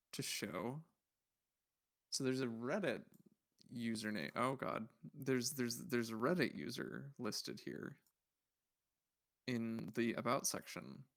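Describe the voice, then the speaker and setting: A man hosting a livestream, chatting casually and rambling as he thinks aloud in a puzzled, musing way while browsing. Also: medium pitch. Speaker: livestream host.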